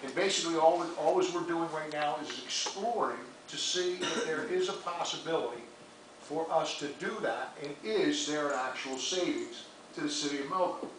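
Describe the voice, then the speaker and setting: A man's fairly high voice carries through a very echoey meeting room with clear background noise. He speaks as an official, explaining things in a careful, measured way. The microphone is some distance from him. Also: a bit bright. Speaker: mayor. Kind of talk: public meeting remarks, explaining.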